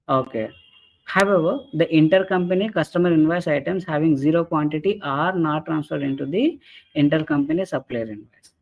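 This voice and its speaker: Higher-pitched man presenting, dull lo-fi audio. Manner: halting, repeatedly checks with "right?" and "okay". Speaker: webinar presenter, software trainer. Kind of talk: explanatory software training webinar.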